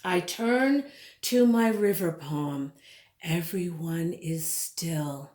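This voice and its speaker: A woman reading a children's picture book aloud in a dramatic, deliberate way, drawing out words and leaning on repeated phrases. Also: lowish voice, darkish tone. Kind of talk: expressive storybook read-aloud. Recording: roomy, echoing indoor sound.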